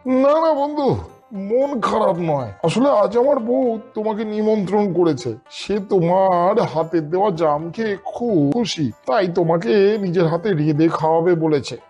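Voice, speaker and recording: A man voicing a gullible, earnest male crocodile in a children's cartoon fable, with a husky tone. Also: sits high, lively intonation, unhurried, a little mellow, soft background music, pitched above the performer's own voice, exaggerated voice. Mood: friendly. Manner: earnest, simple-minded. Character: foolish, gullible, soft-hearted male crocodile friend.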